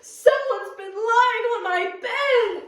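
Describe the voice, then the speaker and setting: A woman's voice: very high voice, very animated, extremely slow, voice pushed up, heavily acted. A woman voicing Papa Bear, a big blustery father bear, with a roaring, emphatic delivery. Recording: echoey classroom, distant mic.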